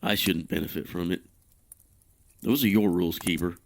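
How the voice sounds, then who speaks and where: A man's voice: low voice, fairly even intonation, moderate pace, vocal fry. An actor playing a guilt-ridden, self-condemning man in an audio drama, speaking in a formal, brooding way. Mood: bitter resolve.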